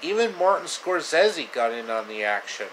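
A man in his thirties narrating a homemade story with halting delivery, recorded at arm's length in a small room. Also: fairly high pitch, big pitch swings, a bit bright, a little hiss or hum, poor audio. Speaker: storyteller, video creator. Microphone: phone or webcam at arm's length.

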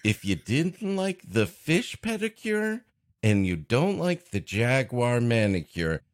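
A man's voice: mid-range voice, very animated, slow, dry recording, clean studio sound. A man co-hosting a comedy podcast, riffing wryly in casual banter with mock exasperation. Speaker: podcast co-host. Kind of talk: comic banter, casual chat.

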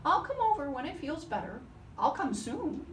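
A lazy, vain koala from a storybook, acted out by a woman. The voice is high and whiny with big swoops in pitch. It is heard at some distance from the microphone. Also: sing-song, moderate pace, a bit bright, reverberant, a little hiss or hum. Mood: whiny excuses.

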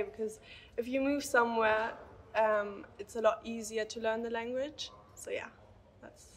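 A young woman from Germany, a student, answering in a documentary interview in a relaxed, hesitant way with fillers. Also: fairly high pitch, husky, rough recording. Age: young adult. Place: university building, indoors.

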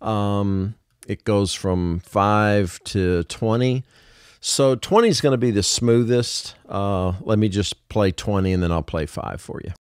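A man's voice: on the low side, unhurried, slight background noise. A man narrating a casual music production tutorial, explaining things informally step by step.

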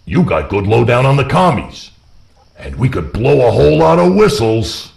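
A man's voice: mid-range voice, sing-song, moderate pace, gravelly, a little mellow. A man voicing a friendly, streetwise working fellow with slangy, informal speech in an audio story. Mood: generous.

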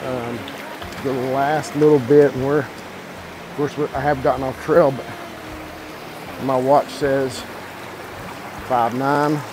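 A man's voice: mid-range voice, fairly even intonation, takes it slowly, pauses often. A man, hosting a hiking vlog in a casual, easygoing way on a wooded trail, filming himself with a phone at arm's length. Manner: folksy, easygoing, talks while walking. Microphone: phone camera at arm's length. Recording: outdoor noise, stream and wind behind.

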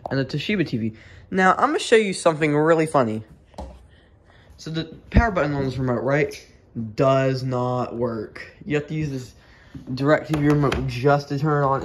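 Rambling young man on noisy phone audio. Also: mid-range voice. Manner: rambling, offhand. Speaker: vlogger. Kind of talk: casual off-the-cuff house tour narration. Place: walking through a house. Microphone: handheld phone. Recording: noisy handheld phone recording with clicks.

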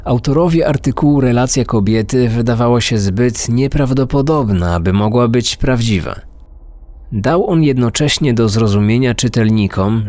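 A man hosting a true-crime podcast with serious, deliberate scripted narration. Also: fairly low pitch, a little mellow, no echo. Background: faint music.

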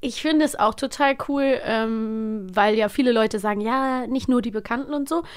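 A woman's voice: on the high side, normal speed, smooth. A woman co-hosting a podcast in upbeat, casual chat, often agreeing and laughing, on a studio mic. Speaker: podcast co-host.

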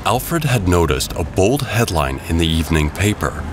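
A man narrating a scripted storybook audio drama in a measured, dramatic way. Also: low-pitched, sing-song, noisy background. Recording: music under the voice. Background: prominent background music.

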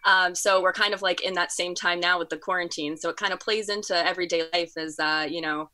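Bright, lowish-voiced young woman. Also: steady tone, fairly quick, no echo. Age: young adult. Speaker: student performer being interviewed. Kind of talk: casual explanatory interview. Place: bedroom, indoors, on a video call.

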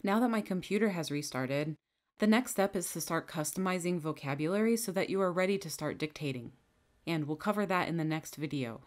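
A woman with a somewhat low voice narrates a scripted software tutorial as the trainer. She walks through each setting in a calm, methodical way, one click at a time. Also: brisk. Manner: calm, methodical step-by-step instructions. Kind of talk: scripted screen-recording tutorial. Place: voice-over over a screen recording.

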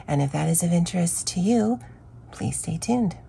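A hoarse woman with a scratchy voice hosting a chatty, rambling coloring vlog. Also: lowish voice, expressive, moderate pace. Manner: chatty, rambling, with fillers and self-corrections. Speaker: craft channel host. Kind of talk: casual coloring vlog chat.

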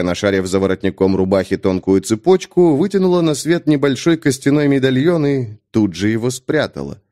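A man narrating a fantasy audiobook in an expressive, scripted storytelling style. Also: medium pitch.

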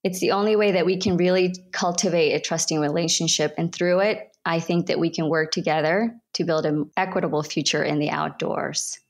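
A woman's voice: lowish voice, steady tone, brisk, few pauses. A woman from Ecuador who grew up in North Carolina, hosting a podcast with introductions and conversation. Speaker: podcast host.

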